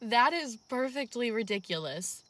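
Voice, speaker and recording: Young woman scientist, acted by a woman, curious, loyal, polite, higher-pitched, for a radio play. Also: lively intonation, moderate pace, dry recording. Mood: polite, puzzled. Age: young adult. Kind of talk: amateur old-time radio drama acting.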